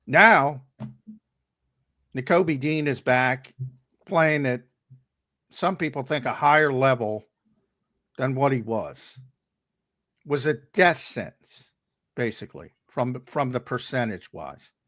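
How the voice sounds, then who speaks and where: A man on a sports talk show, his voice a little hoarse and worn. He argues with emphasis and often stumbles into a point by repeating its first words. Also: mid-range voice, animated, slow, pauses often, fairly bright tone. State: losing his voice. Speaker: co-host and analyst.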